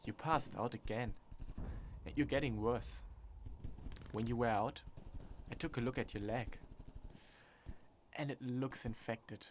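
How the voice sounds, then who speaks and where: A steadfast German soldier from the trenches, acted by a man in a radio play. His voice sits at a middling pitch and he speaks slowly. He is caring, gentle and deliberate in manner. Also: a little hiss or hum, close to an everyday voice. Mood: grave, steady. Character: caring German First World War soldier, calm and steadfast. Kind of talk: student radio drama acting.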